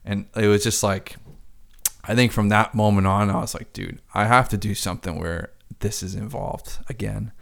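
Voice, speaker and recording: A man's voice: on the low side, steady tone, moderate pace, vocal fry, fairly bright tone. A man who works as a DJ, chatting casually on a podcast, rambling with lots of filler words and swearing freely.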